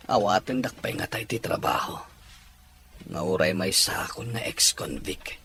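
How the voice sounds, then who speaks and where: A man playing a despairing, remorseful father just out of prison in an audio drama, sighing and pleading haltingly. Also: fairly low pitch, expressive, sharp tone, a little hiss or hum. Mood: weary, ashamed. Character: remorseful, despairing ex-convict father returning home. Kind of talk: emotional Ilocano audio drama acting.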